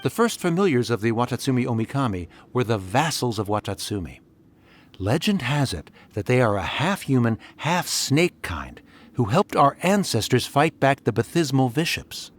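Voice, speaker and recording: A man's voice: medium pitch, sing-song, normal speed. An actor playing an earnest, trusting young soldier in a video game, telling his account sincerely. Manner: sincere, storytelling. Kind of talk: video game character dialogue.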